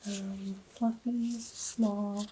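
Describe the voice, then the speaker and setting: A woman plays the patient in an ASMR exam, answering quietly in a word or two. Her voice is soft and mellow, with very long gaps and a very slow delivery. Faint noise and small clicks sit behind it. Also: mid-range voice, level tone, rough recording. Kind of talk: soft-spoken ASMR role-play.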